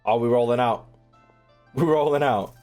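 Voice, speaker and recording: A man's voice: medium pitch, moderate pace. A man giving casual, blunt gaming commentary as a streamer, full of slang and swearing, with game music behind. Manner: blunt, irreverent, profane.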